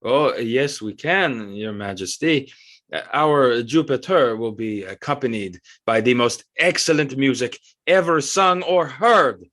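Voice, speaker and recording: A man playing a nervous, obsequious French court choreographer who speaks haltingly in an audio drama. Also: medium pitch, a bit bright, natural voice. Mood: fawning. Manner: halting, stammering, deferential. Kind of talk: stage-style audio drama acting.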